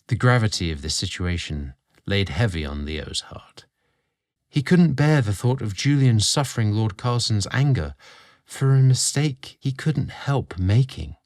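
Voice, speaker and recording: A man narrating a scripted romantic story in an earnest, dramatic, deliberate way. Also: on the low side, animated, a little mellow.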